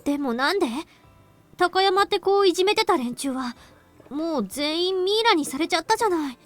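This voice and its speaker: A woman playing a brisk, assertive, clever schoolgirl in a Japanese visual novel, explaining things emphatically. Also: very high-pitched, animated, soft background music. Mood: puzzled.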